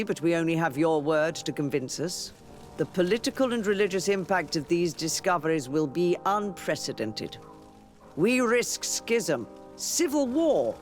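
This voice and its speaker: An actor playing a formal, wary church leader with a deliberate manner in a video game drama. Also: male voice, sits high.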